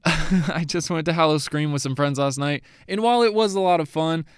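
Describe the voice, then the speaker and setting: A man's voice: on the high side, moderate pace, clean tone, dry recording, clean studio sound. A young man, a bit sniffly, giving casual, eager commentary to his viewers as a YouTube creator. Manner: chatty and eager.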